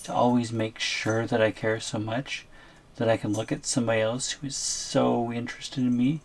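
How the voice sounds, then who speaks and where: A man's voice: mid-range voice, level tone, normal speed, creaky, a little hiss or hum, lightly acted. A man playing a wary, resigned captive boyfriend in an ASMR audio roleplay, hesitant and trying to reason his way along. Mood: coaxing.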